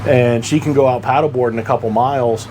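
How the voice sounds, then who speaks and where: A man with a medium-pitched voice talks through gear in an informal, practical way. He is plain-spoken and explanatory, like someone hosting a buyer's guide. There is clear background noise behind him, like air conditioning. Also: fairly even intonation, brisk, darkish tone. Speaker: boating gear reviewer and host.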